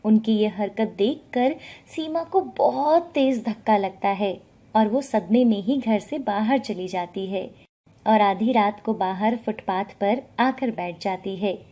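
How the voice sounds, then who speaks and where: A woman's voice: mid-range voice, warm tone. A woman narrating a scripted moral story as a storyteller.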